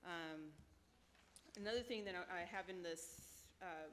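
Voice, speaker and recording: A low-pitched woman talks through options for residents, stopping often with ums and restarts. Her voice carries a long reverb from the council hall, with faint noise behind it. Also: unhurried, fairly bright tone. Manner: hesitant, many fillers, says she has a light voice. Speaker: city staff member presenting options. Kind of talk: meeting presentation. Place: council meeting room.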